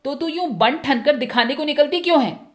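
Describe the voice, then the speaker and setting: A jealous, possessive village husband from a storybook, voiced by a woman narrator. The questions come out agitated and accusing, in a fairly high voice, brooding with suspicion. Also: a little fast, lightly acted. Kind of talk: dramatic Hindi short story reading.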